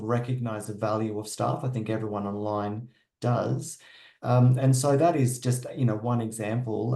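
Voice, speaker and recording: An Australian man speaking as an aged care executive on an online panel, measured and full of fillers. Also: medium pitch, vocal fry, mellow, reverberant. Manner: measured, hesitant with many fillers.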